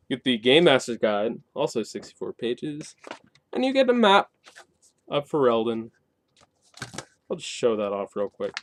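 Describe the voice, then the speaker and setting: A man's voice: medium pitch, a little hiss or hum. A man giving a casual, conversational game review, opinionated and given to wry asides. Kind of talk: casual video review to camera.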